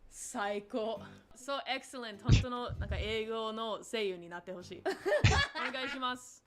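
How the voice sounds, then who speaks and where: A woman's voice: on the high side, animated, normal speed, loud music behind the voice. A woman streamer in playful game chat, slipping between fluent English and Japanese.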